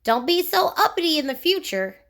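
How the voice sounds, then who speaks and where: A stern storybook goblin, played by a woman reading aloud. It scolds and warns with emphasis, pitched high and swinging sharply up and down. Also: very animated, a little fast, fairly bright tone, heavily acted. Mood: stern warning. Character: stern male goblin who scolds the rude.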